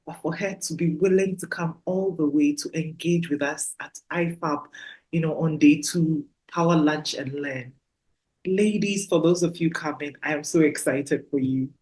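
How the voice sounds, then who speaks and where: A woman hosting a podcast, speaking in a gushing, heartfelt, faith-filled way to her listeners. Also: low-pitched. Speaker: podcast host. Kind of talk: effusive interview chat.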